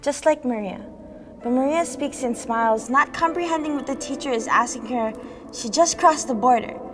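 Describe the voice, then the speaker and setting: A high-pitched woman's voice delivers a slam poem. She is earnest and rhythmic as she tells her story, with music clearly heard behind her. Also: normal speed. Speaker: slam poet. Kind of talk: performed spoken-word poetry.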